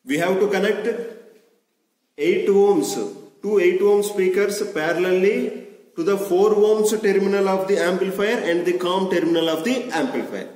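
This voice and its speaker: A young man gives a deliberate, repetitive instructional demonstration as a lab instructor, recorded at a distance in a very echoey hall. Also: high voice, darkish tone. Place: large indoor lab room with hard surfaces.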